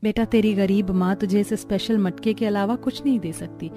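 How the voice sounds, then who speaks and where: A woman's voice: mid-range voice, steady tone, normal speed, over music, lightly acted. A woman voicing a poor, loving mother speaking tenderly in a cartoon moral story.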